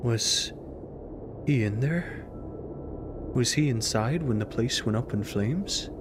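An actor playing a subdued, bewildered man in an audio drama, quiet and hesitant. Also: mid-range voice, lively intonation, takes it slowly, noisy background. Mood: shocked, grieving.

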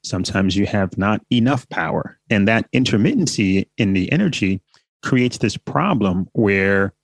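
A man being interviewed as a company founder, explaining in a measured way with some light fillers. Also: lowish voice, animated, vocal fry, no echo, studio quality.

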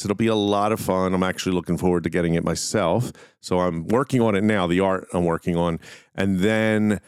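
Smooth, low-pitched man hosting a podcast. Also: darkish tone, dry recording, clean studio sound. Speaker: podcast host. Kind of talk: casual solo podcast talk.

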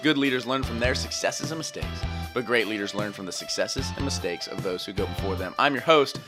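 A man's voice: lowish voice, brisk, over music. A young man hosting a podcast, bantering casually with his co-host, teasing and laughing often.

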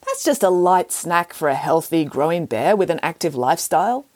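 A woman voicing a bossy, reckless pig nanny who once worked in a circus, speaking briskly and cheerfully. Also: lowish voice, expressive, darkish tone.

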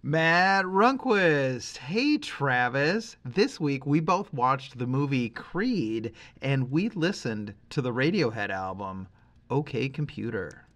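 A man's voice: medium pitch, big pitch swings, slow pace, fairly bright tone. A man co-hosting a podcast in a casual, conversational style. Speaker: podcast co-host.

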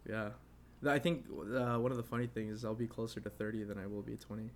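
A man's voice: lowish voice, fairly even intonation, quick pace. A man in his twenties co-hosting a casual podcast, rambling with lots of fillers and laughing often. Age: 20s. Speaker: podcast co-host. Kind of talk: casual chat.

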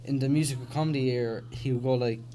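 A man's voice: mid-range voice, steady tone, quick pace, dark tone. A young man hosting a casual podcast chat, rambling with many restarts and fillers. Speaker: podcast host. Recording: faint background noise.

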